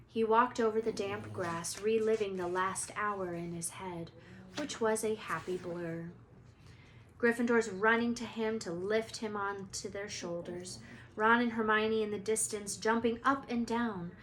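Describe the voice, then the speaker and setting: Female storyteller for expressive read-aloud narration, mid pitch, slightly noisy home recording.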